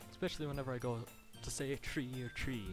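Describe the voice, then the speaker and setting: A man's voice: mid-range voice, steady tone, moderate pace. A male streamer with a slight Irish-sounding lilt, reading a game aloud live, giggly and rambling. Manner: giggly, rambling, self-interrupting. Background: game music.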